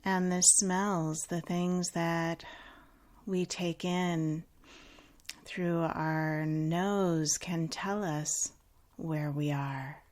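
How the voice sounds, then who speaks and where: A woman leading a guided meditation in a calm, unhurried, gently instructive way with long pauses. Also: on the low side.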